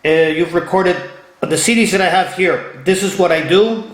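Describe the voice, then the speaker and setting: A man's voice: sits high, moderate pace. A man from Ohio hosting an interview, eager and repeating himself as he restarts phrases. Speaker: interview host. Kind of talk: casual interview. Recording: echoey room.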